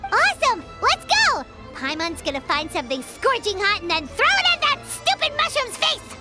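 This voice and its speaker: A tiny, bubbly fairy companion from a video game. The voice is extremely high and squeaky, swooping wildly from note to note. She sounds cheeky and childlike, with loud music playing behind her. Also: normal speed. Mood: excited. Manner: excitable, refers to self in third person.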